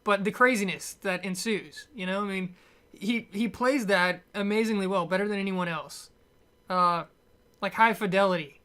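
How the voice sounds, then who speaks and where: A woman reviewer talks casually in a mid-pitched voice. She rambles through her opinions bluntly, in the loose style of an off-the-cuff rant. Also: moderate pace, fairly bright tone. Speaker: movie reviewer.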